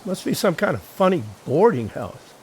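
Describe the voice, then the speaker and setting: A man's voice: on the high side, very animated, normal speed, a little hiss or hum. A man acting in an audio drama as a breezy, broke beach sketch artist with wry, casual banter. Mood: bemused. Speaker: actor.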